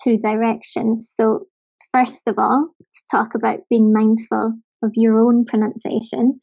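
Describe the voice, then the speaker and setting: A woman's voice: a little high, flat delivery, a little slow, creaky, mellow, dull audio. A woman from Scotland with a light Scottish accent, giving thoughtful practical advice in a casual conversation.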